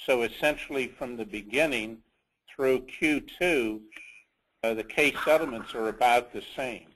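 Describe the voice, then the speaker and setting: A man with a mid-pitched voice leads an investor call, going through slides of business figures. He speaks slowly and haltingly, pausing inside his sentences as he presents. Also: fairly bright tone, poor audio. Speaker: company executive leading an investor conference call.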